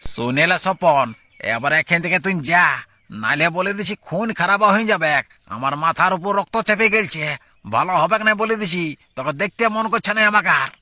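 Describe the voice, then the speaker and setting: Hot-tempered cartoon village husband acted by a man, high forceful voice, blustering, jealous, rural Purulia Bengali accent. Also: animated, fairly quick, no room sound, exaggerated voice. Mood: threatening. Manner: blustering, berating. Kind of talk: amateur comic cartoon dialogue.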